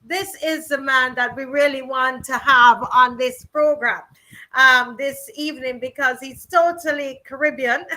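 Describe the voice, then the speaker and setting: Chatty woman host, high and bright. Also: unhurried, rough recording. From Barbados. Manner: warm, chatty, many ums and restarts. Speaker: host of a virtual rally. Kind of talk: casual hosting and introductions.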